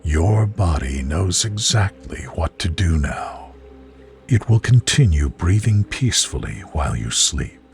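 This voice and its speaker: Male narrator for guided sleep visualization. Deep, very slow, soothing and measured, with music underneath. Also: very animated, creaky. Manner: soothing, gentle, measured delivery. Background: music behind the voice.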